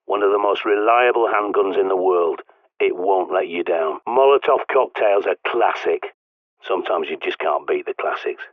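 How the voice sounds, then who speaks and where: An actor playing a gruff, secretive ex-army survivalist with a British accent, giving dry, knowing instructions in video game voice lines over a radio transmission. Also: male voice, lowish voice, no echo. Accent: British English. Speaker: game character, survivalist guide. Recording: tinny radio-filtered line.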